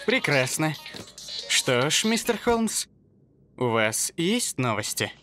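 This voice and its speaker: A man's voice: a little high, big pitch swings, slow, a bit bright, faint music behind, heavily acted. A man playing a smug, scheming boss in a cartoon dub, with a self-satisfied drawl. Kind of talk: animated series dub acting.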